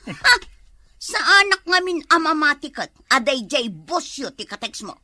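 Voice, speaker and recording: A woman's voice: sits high, very animated, moderate pace, bright, forceful tone, no room sound, exaggerated voice. A woman playing a suspicious, nagging wife in a comedy drama, scolding and questioning her husband. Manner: scolding, interrogating.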